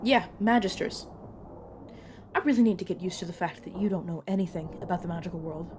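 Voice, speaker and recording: Over clearly noisy ambience comes a low female voice, an actress playing a weary cursed agent who hunts rogue vampires. She explains patiently and apologetically, with casual, hesitant asides, in the intimate style of an audio roleplay. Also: brisk, lightly acted. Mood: casual, informative. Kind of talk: narrative audio roleplay acting, addressed to listener.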